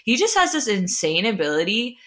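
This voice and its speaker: A woman's voice: mid-range voice, sing-song, fairly quick, a bit bright. A woman in her twenties with an American accent, chatting casually and telling informal stories as a podcast co-host. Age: 20s. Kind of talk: casual chat.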